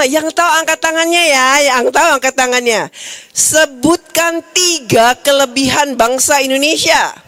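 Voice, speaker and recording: A woman preacher giving a sermon in a church hall, lively and coaxing the congregation directly. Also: sits high. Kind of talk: sermon with audience games.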